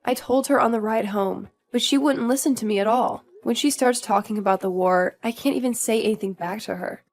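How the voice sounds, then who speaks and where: A woman playing a patient, tired but dutiful young newlywed wife in a scripted audio drama, speaking in a measured, polite conversational way. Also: a little high. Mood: mildly exasperated.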